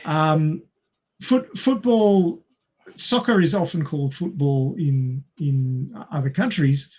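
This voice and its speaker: A man's voice: on the high side, slow pace, darkish tone, husky. A man with an Australian accent hosting a casual quiz among friends, reading out questions and chatting. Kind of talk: casual group quiz chat.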